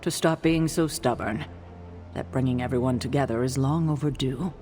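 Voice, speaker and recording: A woman voicing a stern, weary team leader in a game, speaking in a measured, earnest, formal way. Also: rumbling low, warm tone, soft background music. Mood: resolute.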